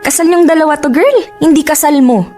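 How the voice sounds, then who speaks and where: A woman's voice: sits high, fairly quick, warm tone, soft background music, close to an everyday voice. A woman playing a blunt, protective best friend in a relationship drama, frank and conversational with a Filipino accent. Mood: frank, disapproving.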